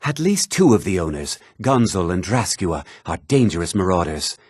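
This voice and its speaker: An actor playing an obsessed, earnest museum keeper with cult roots in a fantasy video game, in a theatrical, rough-edged voice. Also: male voice, low-pitched, sing-song, moderate pace, darkish tone, dry recording, clean studio sound, heavily acted. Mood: businesslike, eager. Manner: theatrical, earnest, swinging delivery. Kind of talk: scripted video game dialogue.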